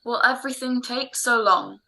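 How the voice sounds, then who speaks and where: A woman's voice: fairly high pitch, moderate pace, creaky, bright, forceful tone, rough recording. A woman playing an earnest, restless, dutiful son in a radio drama. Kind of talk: classic radio drama acting.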